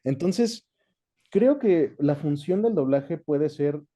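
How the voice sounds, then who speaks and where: A man with a Mexican accent giving measured, conversational explanations as an interview guest, often checking in with short tag questions. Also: on the high side, animated, warm tone. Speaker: interview guest, voice actor and dubbing director.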